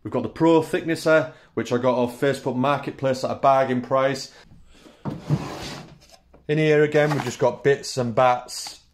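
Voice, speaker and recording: British man, 30s, easygoing workshop-tour host; mid pitch, plain chatty delivery, small-room camera sound. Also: moderate pace, slight background noise. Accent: British English. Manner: relaxed, chatty, lists tools in short phrases. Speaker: woodworking channel host. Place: small indoor woodworking workshop.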